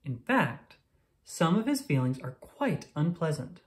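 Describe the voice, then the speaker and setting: Low-pitched, lively woman reading a storybook. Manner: expressive, deliberate storytelling for young children. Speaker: children's book reader. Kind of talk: scripted read-aloud narration of a picture book.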